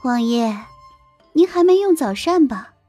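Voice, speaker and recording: High-pitched, simpering young concubine. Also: female voice, expressive, a little slow, mellow. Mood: fawning. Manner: simpering, affected, sweetly wheedling. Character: coquettish, scheming young concubine fawning on a prince. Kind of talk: Chinese audio drama acting. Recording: music bed behind the voice.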